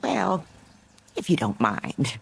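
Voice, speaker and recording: An actress playing a prim, fretful busybody neighbor in a radio drama, hesitant and politely insinuating, with a husky, creaky voice. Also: female voice, a bass voice, wildly swooping pitch, slow pace, slight background noise, exaggerated voice.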